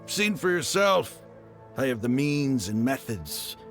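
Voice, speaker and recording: An actor playing a zealous desert mercenary leader in a video game, gravelly, grandiose and mocking, declaiming like a villain. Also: male voice, medium pitch, sing-song, slow pace, fairly bright tone, faint music behind, exaggerated voice. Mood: smug, mocking.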